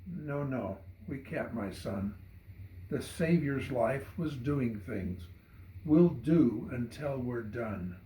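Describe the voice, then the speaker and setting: A man voicing a calm, devout farmer bishop with a gentle, subdued, fatherly manner in a poem reading. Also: mid-range voice, slow, slight background noise. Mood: calm, steadfast. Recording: roomy echo.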